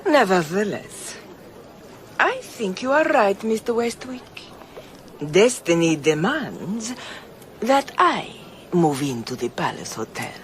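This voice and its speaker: A brooding scheming lady in a radio play, slow n theatrical, swoopy melody, bit of noise behind. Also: medium pitch. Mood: grandly resolved. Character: enigmatic, fatalistic foreign noblewoman, scheming and brooding. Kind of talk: radio drama acting.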